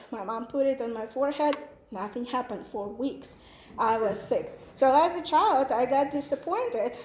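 A woman in her thirties from Ukraine with a Ukrainian accent, giving an earnest, animated testimony in church. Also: a little high. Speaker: missionary's wife. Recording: echoey church hall, faint noise.

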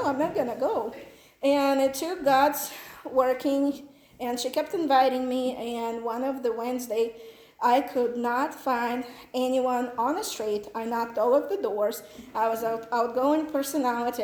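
Ukrainian-accented woman giving church testimony. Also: high voice. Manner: earnest, animated storytelling. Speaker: missionary's wife. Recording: echoey church hall, faint noise.

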